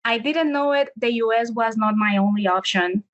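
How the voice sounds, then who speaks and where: A woman's voice: on the high side, moderate pace, dull audio. A woman in her late thirties from Colombia with a Colombian Spanish accent, a Spanish speaker answering interview questions in a thoughtful way and restarting as she searches for words. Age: late 30s. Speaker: guest.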